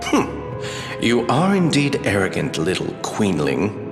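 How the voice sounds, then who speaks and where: A man playing a scheming, haughty royal adviser in a video game, speaking in a formal, theatrical, condescending way. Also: on the low side. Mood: contemptuous. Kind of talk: anime-style video game voice acting. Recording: echoey reverberant hall, loud music behind.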